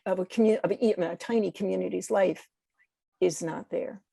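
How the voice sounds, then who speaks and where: An American woman in a conversational discussion, speaking haltingly as she searches for words and corrects herself. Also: on the low side, creaky, warm tone, husky, no echo, clean studio sound. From United States. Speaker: podcast guest.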